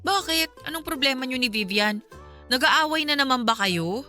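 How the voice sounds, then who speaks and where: A young woman in a radio drama, with a high, very bright voice. She comes across as a caring confidante, with music under her. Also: animated, moderate pace. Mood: curious. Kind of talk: Filipino radio drama acting.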